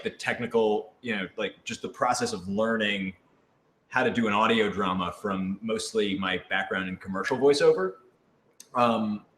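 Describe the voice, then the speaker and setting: A man's voice: fairly low pitch, a bit bright, slight background noise. Rambling, enthusiastic man in an echoey room. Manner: enthusiastic, rambling, self-effacing. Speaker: co-host and voice actor. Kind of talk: casual live Q&A chat. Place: indoor room, on a couch. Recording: echoey, roomy sound.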